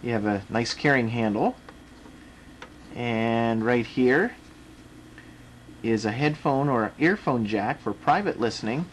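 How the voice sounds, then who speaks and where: An American man presents a casual, unscripted product demonstration. He explains things step by step, pausing and restarting as he goes. The home camera recording carries faint background noise. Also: medium pitch. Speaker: video presenter demonstrating a radio. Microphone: camera microphone.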